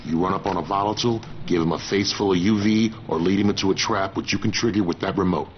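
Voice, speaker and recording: An actor voicing a gruff, confident survivor who gives blunt, wry instructions in a video game. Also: male voice, low-pitched, fast, close to an everyday voice. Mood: grave, businesslike. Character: gruff, confident man, trap-setting survivor handler. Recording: dull, noisy game audio with engine ambience.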